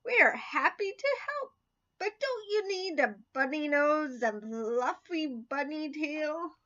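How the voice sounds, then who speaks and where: A woman's voice: sits high, animated, takes it slowly, sharp tone, dull audio, heavily acted. A woman voicing a friendly, obliging hen in a children's story. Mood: chirpy.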